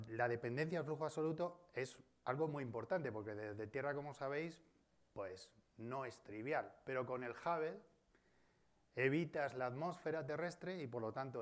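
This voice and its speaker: A man with a Spain Spanish accent giving an academic colloquium talk in a university auditorium, discursive and often adding tag questions. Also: medium pitch. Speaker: guest speaker.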